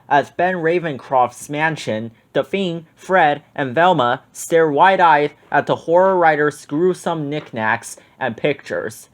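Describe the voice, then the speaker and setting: A man reading a children's book aloud in an expressive, lively way. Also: a little high.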